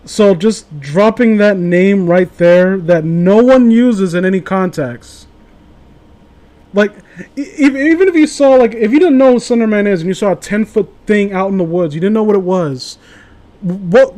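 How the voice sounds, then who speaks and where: A man's voice: sits high, normal speed, a little mellow. A man hosting a casual show, reading amateur horror stories aloud and breaking off into rambling, opinionated, profane commentary.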